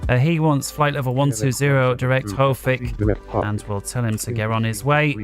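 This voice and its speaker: Male gaming host, mid-pitched, casual think-aloud play commentary over music. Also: fairly even intonation, moderate pace. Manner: thinking aloud while playing.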